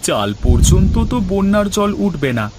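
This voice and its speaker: Guy playing a village chicken seller in a cartoon, highish voice, earnest n respectful, music in back. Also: big pitch swings, normal speed. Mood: earnest, determined. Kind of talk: dramatised cartoon dialogue.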